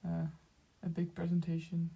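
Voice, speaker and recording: A young woman with a Dutch accent, chatting casually with viewers while she draws, speaking softly and haltingly with many fillers and small laughs. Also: lowish voice, completely flat delivery, creaky, husky. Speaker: streamer. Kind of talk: casual chat while drawing on stream.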